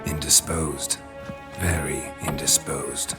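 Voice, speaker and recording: World-weary monster hunter: extremely low, gruff, terse, stoic delivery, loud score behind. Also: male voice, slow, loud music behind the voice, full character voice. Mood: dry, terse. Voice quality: gravelly, low. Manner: terse, deadpan. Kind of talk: video game voice acting.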